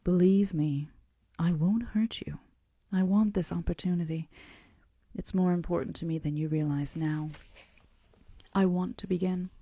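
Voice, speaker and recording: A woman reading the part of a calm, melancholy male vampire who tells of his past in a gentle, measured, confidential way. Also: fairly low pitch, dry recording, lo-fi sound. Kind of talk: audiobook-style character reading.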